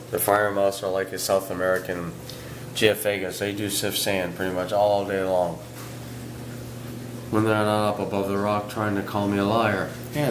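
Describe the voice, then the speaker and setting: A man with a hoarse, sick-sounding voice, casually narrating footage of his aquarium at home with fillers and restarts. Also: on the low side, roomy sound, background noise. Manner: relaxed, rambling, with fillers and restarts. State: hoarse from illness. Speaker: aquarium hobbyist channel host.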